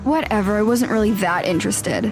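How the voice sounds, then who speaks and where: A woman's voice: mid-range voice, brisk, lightly acted. A woman voicing a haughty, dramatic teenage girl rival with a pompous, dismissive manner, in an audio drama over faint music.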